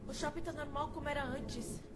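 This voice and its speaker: A woman's voice: high-pitched, fairly even intonation, brisk, very noisy, natural voice. A woman with a Brazilian accent playing a bewildered teenage girl in a fan-dubbed video game. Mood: confused.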